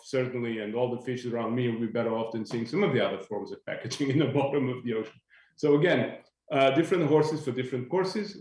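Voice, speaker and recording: A man talks as a panelist over a video call, weighing his words and slipping in light jokes. His voice sits at an ordinary middle pitch. The room around him rings with a noticeable echo. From Portugal. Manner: thoughtful, searching for words, lightly joking. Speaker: industry panelist. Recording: echoey room.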